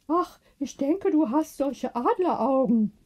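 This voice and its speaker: A woman voicing an excitable older lady who tells her tale in an earnest, emphatic way. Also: high-pitched, unhurried, voice pushed up. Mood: snippy.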